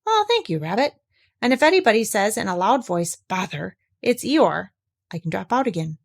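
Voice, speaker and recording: A woman acts out a mournful old male donkey from a children's storybook. He complains in formal, ponderous phrases, full of wounded sarcasm. The pitch moves up and down a great deal. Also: sing-song, moderate pace, gravelly. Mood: resigned. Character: gloomy, self-pitying old male donkey, sarcastically aggrieved. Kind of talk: children's storybook read aloud.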